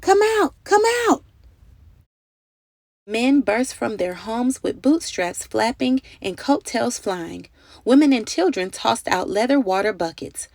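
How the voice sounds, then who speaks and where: A woman's voice: a little high, lively intonation, measured pace, gravelly, darkish tone, no room sound. A woman reading a children's story aloud in a dramatic, carefully phrased narrating style with emphatic delivery. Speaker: storybook reader.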